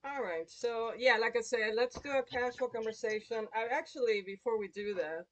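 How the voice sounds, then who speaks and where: A woman's voice: a little high, normal speed, dull audio. A woman hosting a live show in casual, explanatory conversation. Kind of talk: casual chat. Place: online livestream call.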